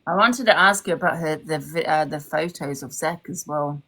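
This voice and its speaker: A woman's voice: deep voice, normal speed, fairly bright tone. A woman chatting and debating casually as a panellist on a livestream. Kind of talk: casual live chat and debate.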